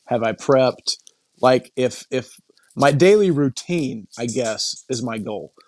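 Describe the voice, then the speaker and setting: A middle-aged man talking casually and reflectively as a podcast guest, thinking aloud in a halting way and repeating phrases. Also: mid-range voice, expressive, a little mellow, no room sound. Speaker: podcast guest, coaching client. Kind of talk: casual reflective interview talk.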